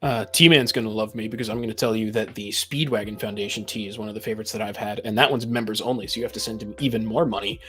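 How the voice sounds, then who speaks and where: A man's voice: on the low side, steady tone, fast talker, hardly any pauses, a bit bright, faint music behind. A man co-hosting a casual group podcast chat, explaining and giving advice with dry teasing. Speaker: podcast co-host.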